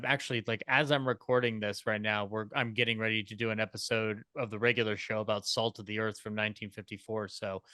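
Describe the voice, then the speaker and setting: A man with a crisp, forward voice, recorded close and dry. He speaks as a podcaster on a panel, in a wordy, fannish, casual way. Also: lowish voice, bright, forceful tone, dry recording.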